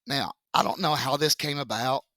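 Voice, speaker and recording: A man's voice: medium pitch, pushed and bright, dry recording, clean studio sound. Joking teacher man with a froggy voice. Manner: joking, chatty, slow step-by-step explaining. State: deep froggy voice from a cold. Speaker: math teacher. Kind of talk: casual classroom lesson video.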